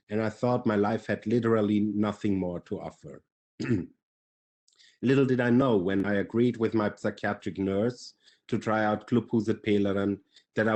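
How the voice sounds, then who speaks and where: Man with a finnish accent, low voice, mellow tone, measured earnest reading, video call. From Finland. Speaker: webinar panelist. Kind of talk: reading prepared remarks.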